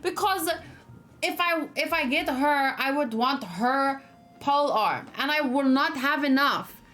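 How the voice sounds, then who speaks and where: A woman's voice: high voice, a little slow, bright, forceful tone. A woman streaming a let's play, giving casual, chatty commentary and musing aloud as she goes. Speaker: gaming streamer.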